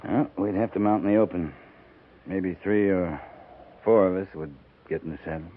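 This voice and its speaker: A tinny, hissy vintage radio recording carries an actor playing a frontier army captain. He is an American man with a lowish voice. He speaks in short, firm, steady commands. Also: moderate pace, close to an everyday voice. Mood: firm, sober. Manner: terse, firm, laconic. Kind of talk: 1950s radio drama acting. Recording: tinny, band-limited, noisy 1950s radio recording.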